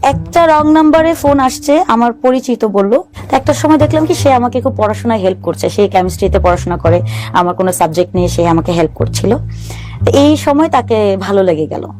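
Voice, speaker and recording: A woman's voice: on the high side, lively intonation, brisk, darkish tone, faint music behind. A woman in her thirties from Bangladesh, earnestly telling her own life story to a show host and often repeating what her family said. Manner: earnest, often voicing family members' words. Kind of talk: personal storytelling in an interview.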